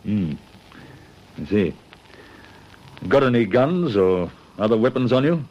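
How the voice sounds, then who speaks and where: An actor playing a stern, wary Australian police constable who questions deliberately in a radio detective drama. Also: male voice, lowish voice, very animated, darkish tone, highs cut off. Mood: stern, probing.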